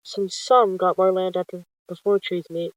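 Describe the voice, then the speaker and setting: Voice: medium pitch, flat delivery, normal speed, no room sound, close to an everyday voice, low-quality recording. A storyteller voicing a chatty, businesslike male cat deputy who hands out patrols in a roleplay story. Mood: casual, dutiful.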